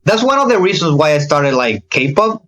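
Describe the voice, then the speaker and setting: Animated man with a higher-pitched voice. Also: moderate pace. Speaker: podcast guest, K-pop reaction video creator. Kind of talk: casual podcast chat.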